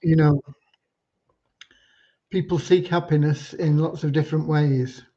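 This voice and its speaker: A man from Cornwall hosting an informal livestream, talking in an unhurried, earnest, slightly preachy way. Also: a little high, fairly even intonation, mellow. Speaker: livestream host and community leader. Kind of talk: informal livestream updates with devotional talk. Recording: dull lo-fi livestream audio.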